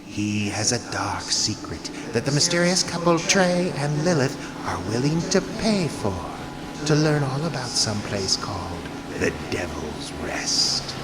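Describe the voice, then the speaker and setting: A man's voice: mid-range voice, big pitch swings, a little slow, very noisy. A man playing a knowing, wry announcer who narrates a suspense radio drama theatrically to the listener.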